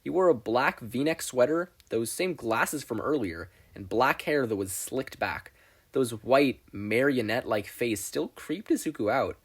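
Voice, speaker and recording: A man narrates fiction from a script in an expressive storytelling style. His voice is medium in pitch, with lively, varied intonation throughout. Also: measured pace, slightly rough. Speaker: audiobook narrator.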